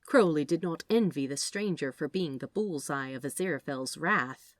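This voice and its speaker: A woman's voice: sits low, moderate pace, dry recording. A woman reading fiction aloud as a narrator in a scripted storytelling style.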